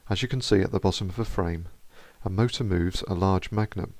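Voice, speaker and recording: A male researcher reading a scripted explanatory narration in a steady, measured way. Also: sits low, no echo.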